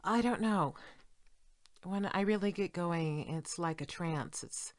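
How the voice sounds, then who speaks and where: A woman's voice: lowish voice, takes it slowly. An actress playing a defensive, articulate woman writer in an audio drama, with emphatic, exasperated delivery. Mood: absorbed, reflective. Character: defensive, articulate female writer of women's erotica. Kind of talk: stage-style audio drama acting.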